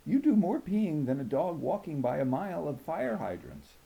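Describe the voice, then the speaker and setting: A wry male schoolteacher in a children's storybook, acted out by a man reading aloud, teasing and playful. The pitch leaps about in an animated way over a mellow tone. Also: normal speed, creaky, low-quality recording.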